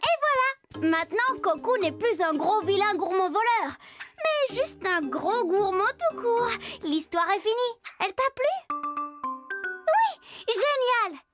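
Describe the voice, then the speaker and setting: A woman's voice: very high voice, very animated, slow, exaggerated voice. A woman voicing a playful, scatterbrained little girl who tells stories to young viewers in a chatty cartoon style. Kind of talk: children's cartoon narration addressed to the viewer. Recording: loud music behind the voice.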